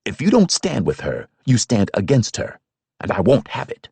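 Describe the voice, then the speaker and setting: A man's voice: on the low side, huge pitch swings, normal speed, creaky, warm tone, breathy edge, no echo, heavily acted. A man reading aloud, voicing a stern, commanding older father who rules his family firmly.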